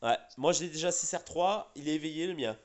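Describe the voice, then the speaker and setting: A man's voice: a little high, fast. Congested man chatting about a game. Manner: rambling, hesitant, many fillers and restarts. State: a bit sick and congested. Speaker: gaming channel host. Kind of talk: casual gaming chat.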